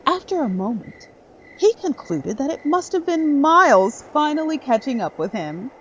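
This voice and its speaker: A woman narrates a dark audio drama with expressive, swooping intonation. The sound is slightly muffled, with faint noise behind her. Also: high voice, normal speed. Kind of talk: dramatic scripted horror-story narration.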